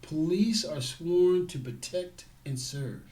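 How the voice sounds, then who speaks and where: A man's voice: on the high side, slow, a little mellow. Emphatic male security guard trainer. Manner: emphatic, repeats points for stress. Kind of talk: casual interview conversation.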